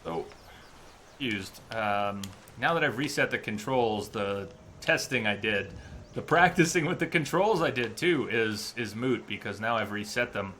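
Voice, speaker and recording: A man's voice: lowish voice, unhurried, fairly bright tone, slight background noise. A man streaming a video game with casual commentary, thinking aloud as he plays. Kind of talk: casual live playthrough commentary. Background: game music.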